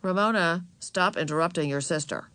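A woman's voice: lowish voice, moderate pace, fairly bright tone, no echo, close to an everyday voice. Woman as a reasonable, mildly stern father. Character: stern but easily amused, reasonable father.

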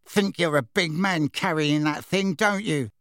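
A man's voice: a little high, a little slow, a bit bright, no echo, studio quality, pitched above the performer's own voice. A man voicing a big teenage boy bully, snarling, sneering and defensive, in an audiobook story. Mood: challenging.

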